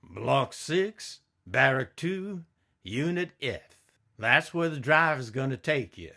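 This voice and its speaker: A man voicing a brisk, commanding male camp guard who gives official instructions in a storybook reading. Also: mid-range voice, expressive, gravelly, sharp tone, heavily acted.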